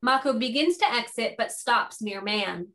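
A woman's voice: a little high, expressive, moderate pace, a bit bright. A woman giving scripted narration, reading out the stage directions of a play.

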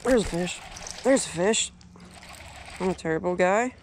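High-voiced young American man vlogging outdoors. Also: unhurried. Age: young adult. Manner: folksy, colloquial, repeats short phrases. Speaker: fishing vlogger. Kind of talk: casual outdoor vlog commentary. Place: outdoors on a small boat on a lake. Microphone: action camera, worn or at arm's length. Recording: boat and outdoor background noise.

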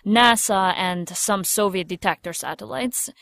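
A woman's voice: mid-range voice, measured pace, vocal fry, a bit bright, no echo, clean studio sound. A woman playing a confident, teasing ex-agent and operations chief in an audio drama, with a playful, coaxing manner. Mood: sly, persuasive.

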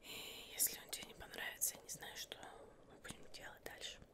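A young woman whispers an ASMR roleplay as a shopkeeper, coaxing the listener softly and unhurriedly, often repeating words, close to the mic indoors. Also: brisk. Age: young adult. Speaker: ASMR roleplayer playing a witch tailor.

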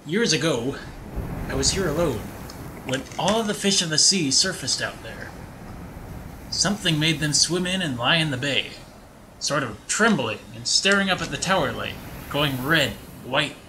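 Man putting on a bright-edged old lighthouse keeper. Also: on the high side, a little hiss or hum, voice pushed up, full character voice, low-quality recording. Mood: musing. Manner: folksy, musing delivery. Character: weathered old lighthouse keeper, brooding, wistful storyteller. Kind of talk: amateur audio drama.

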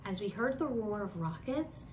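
Young female storyteller, lively intonation, children's read-aloud, slightly distant mic with faint rumble. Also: moderate pace. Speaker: children's book reader. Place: small home room with bookshelves.

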